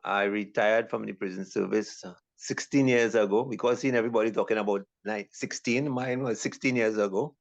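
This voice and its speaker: A man's voice: lowish voice, fairly even intonation, brisk, gravelly, few pauses, no echo, muffled recording. A man in his seventies speaking easygoing as a panel guest, with many ums. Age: 70s. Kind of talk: casual chat.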